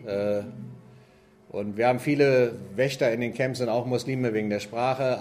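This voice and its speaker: A man's voice: medium pitch, a little mellow. A man speaking in a church setting, earnest and conversational, often asking rhetorical questions and adding "nicht?". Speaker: church team leader. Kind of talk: church talk.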